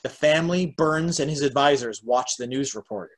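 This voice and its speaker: A middle-aged man with a medium-pitched voice reads scripted stage directions. He is narrating an amateur table read from home over a video call. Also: normal speed, poor audio. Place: room at home.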